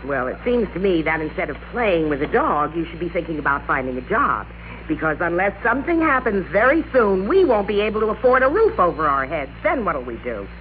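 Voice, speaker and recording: Through the dull hum of an old radio recording, a middle-aged wife who nags her husband. Her pitch leaps about dramatically, in the emphatic manner of classic radio drama. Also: very animated, a little fast. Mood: indignant. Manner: scolding, emphatic. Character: nagging, indignant wife of a feckless husband.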